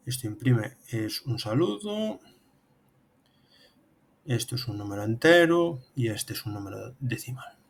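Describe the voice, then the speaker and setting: Guy in his 30s w/ spain spanish accent, medium pitch, doing a step by step tutorial. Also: big pitch swings, measured pace, vocal fry, long pauses, breathy edge. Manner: instructional, step by step. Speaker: programming instructor. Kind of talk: tutorial narration over screen demonstration.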